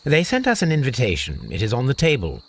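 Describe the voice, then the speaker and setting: An actor playing a brilliant, eccentric Victorian English detective, formal, precise and dryly teasing, in a video game. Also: male voice, mid-range voice, wildly swooping pitch, fast talker, husky, full character voice. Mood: wryly amused.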